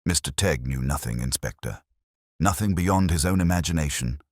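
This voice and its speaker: A man's voice: extremely low pitch, expressive, normal speed, no room sound, clean studio sound. A man voicing a stiff, indignant older woman who guards the club accounts, speaking in a curt, defensive way.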